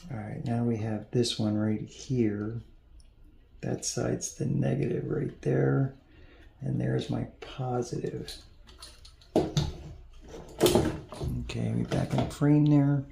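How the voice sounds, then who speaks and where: A man's voice: medium pitch, steady tone, slow, creaky, pauses often. A man repairing electronics at a home workbench, thinking aloud and mumbling as he works. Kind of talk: casual workbench narration. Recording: echoey room, loud background noise.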